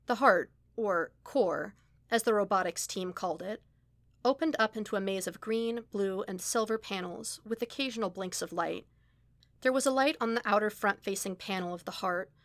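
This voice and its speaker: A woman reading a fan story aloud in a steady, even storytelling manner. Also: mid-range voice, no room sound. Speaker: podfic reader. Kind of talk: scripted narration of a fan story.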